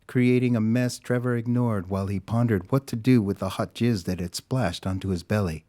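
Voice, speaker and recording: Male narrator, lowish pitch, scripted storytelling, deliberate, dramatic delivery. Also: mellow.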